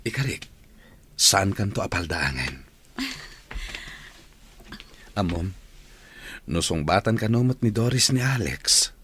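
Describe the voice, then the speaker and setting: A man's voice: low-pitched, expressive, a little slow, fairly bright tone. A man playing an eager, genial engineer in a radio comedy drama. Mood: lovestruck, delighted. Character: eager, lovestruck male engineer, genial boss, marriage-minded. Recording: faint vehicle noise behind.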